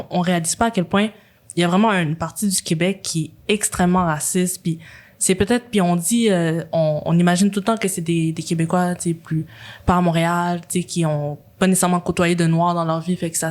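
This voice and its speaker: A young woman from Quebec with a Quebec French accent, hosting a casual conversation and talking in long, rambling stretches full of fillers. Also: on the low side. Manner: animated, rambling with frequent fillers. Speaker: host.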